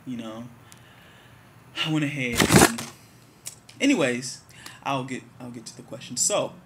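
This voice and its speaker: A man's voice: mid-range voice, unhurried, very noisy. A man in his twenties, answering viewer questions in a candid, rambling, joking way. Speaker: vlogger. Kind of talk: casual talk to camera.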